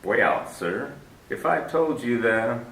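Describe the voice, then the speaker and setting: An actor playing a genial, wordy middle-aged crook who talks formally and flatters, in 1940s radio style. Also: male voice, medium pitch, takes it slowly, gravelly, heavily acted. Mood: smooth, scheming. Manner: florid, flattering, overly formal with many sirs. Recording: roomy echo.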